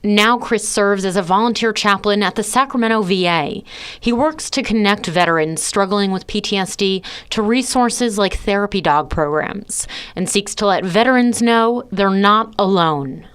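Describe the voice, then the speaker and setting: A woman's voice: mid-range voice, moderate pace, a little hiss or hum. A woman news reporter telling a story and chatting earnestly in the studio.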